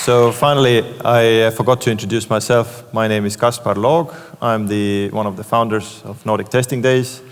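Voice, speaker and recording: A man's voice: on the low side, fairly even intonation, normal speed, hardly any pauses, some chatter behind, slight background noise. A man moderating a conference panel, talking loosely with many fillers. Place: conference stage in a large hall. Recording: long hall reverb.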